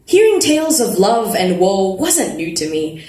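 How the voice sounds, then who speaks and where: A woman reads a first-person story aloud at a live event in an expressive, conversational way. Her pitch is average and her manner playful. The space is roomy, with some noise behind her. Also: unhurried. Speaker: reader of a first-person novel. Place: live literary event at an arts festival.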